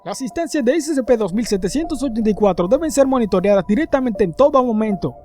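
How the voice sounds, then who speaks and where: A man's voice: high-pitched, mellow. A man reading scripted narration in the manner of a formal report for a horror fiction channel.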